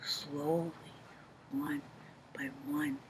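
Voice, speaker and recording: An older woman telling a children's story in an expressive, deliberate, dramatic way. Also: low-pitched. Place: shady outdoor bench. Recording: faint outdoor background noise.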